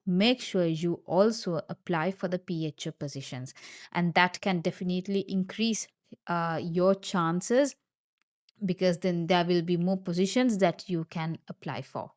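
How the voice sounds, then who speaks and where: A woman's voice: on the low side, a little slow. A woman from Sri Lanka, a doctor, patiently explains job terms in an informal video, repeating key points and speaking directly to viewers. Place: Queensland, Australia.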